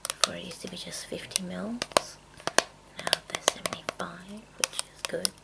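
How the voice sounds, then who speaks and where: A woman speaking in a hushed, breathy whisper, casually rambling through products in an unhurried ASMR style. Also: on the low side, wildly swooping pitch. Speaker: ASMR video maker.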